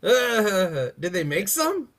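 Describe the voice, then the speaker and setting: A man's voice: sits high, very animated, takes it slowly, fairly bright tone. A man talking as a panelist in a loose, casual conversational style. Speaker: panelist and podcaster. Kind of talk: casual chat.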